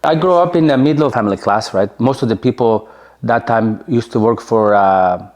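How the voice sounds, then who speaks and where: A man's voice: mid-range voice, normal speed, a little mellow. A man in his forties with a Slovenian accent, telling his story in an interview in a chatty way, full of fillers and tag questions. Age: 40s. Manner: chatty, many fillers, keeps asking "right?". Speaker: interview guest, former soccer player.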